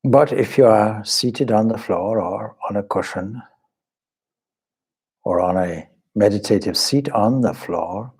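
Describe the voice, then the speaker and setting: Man, deep voice, breathy husk, measured delivery, guided meditation, short deliberate phrases. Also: a little mellow. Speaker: meditation teacher, swami. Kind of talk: guided meditation, slow spoken instruction.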